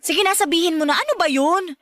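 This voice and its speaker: A woman's voice: very high voice, fast talker, fairly bright tone, no room sound. A woman playing a sweet, coy young woman in love in a radio drama, with a Filipino accent. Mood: playfully probing.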